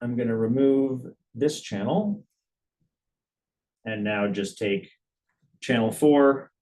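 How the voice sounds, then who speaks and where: A man's voice: mid-range voice, slow pace, darkish tone, rough recording. A man presenting a live product demonstration in a webinar, explaining things conversationally and thinking aloud as he goes. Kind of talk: live product demo, casual technical presentation.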